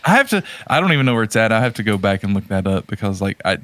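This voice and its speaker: A man co-hosting a podcast, chatting casually about video games in a halting, rambling way. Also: fairly low pitch, very animated, a bit bright. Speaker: podcast co-host.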